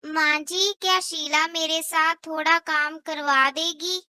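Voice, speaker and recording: Cartoon daughter-in-law, female narrator acting: young, meek, unwell, apologetic; slow, high-pitched delivery. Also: fairly even intonation, pushed and bright, no echo, full character voice. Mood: timid, pleading. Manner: timid, apologetic, polite. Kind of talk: cartoon moral story dialogue.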